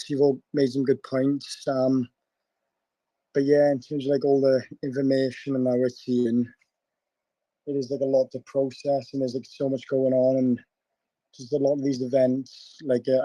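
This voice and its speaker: A man with a sore throat and a hoarse voice, rambling in casual chat and peppering his talk with filler words. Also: medium pitch, flat delivery, normal speed, warm tone, no echo, rough recording. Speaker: caller in an online audio chat room.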